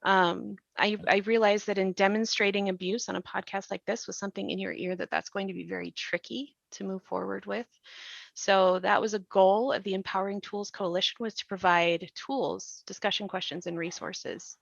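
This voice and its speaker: A woman's voice: medium pitch, fairly even intonation, moderate pace, gravelly, no echo. Woman podcast host, dry muffled recording. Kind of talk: reflective podcast discussion.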